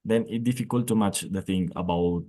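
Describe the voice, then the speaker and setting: Halting Colombian man with a low voice. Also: mellow. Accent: Colombian Spanish. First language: Spanish. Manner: halting, repeats words while searching for English phrasing. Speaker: panel speaker. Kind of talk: slide presentation at an online panel.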